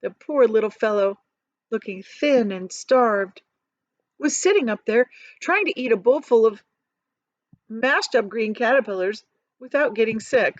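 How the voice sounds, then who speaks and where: A woman voicing an eccentric, proud and excitable male chocolate factory owner in a theatrical, emphatic storybook manner. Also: on the high side, a little slow, dry recording, lo-fi sound. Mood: eager, persuasive. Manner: theatrical, emphatic, stretching key words.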